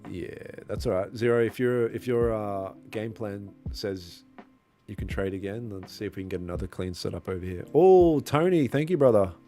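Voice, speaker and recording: A man's voice: on the low side, lively intonation, moderate pace, mellow. A young man livestreaming his trading, chatting casually with viewers in a slangy, laid-back way. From Australia. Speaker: livestreaming trader. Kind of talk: casual live-stream chat, reading and answering viewer comments. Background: faint lo-fi music.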